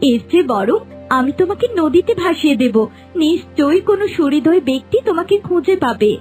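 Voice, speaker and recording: A woman's voice: sits high, animated, brisk, a little mellow. A woman voicing a woman of a magical non-human kingdom in a cartoon, with a storybook cadence. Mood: tender. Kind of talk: cartoon voice acting. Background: music.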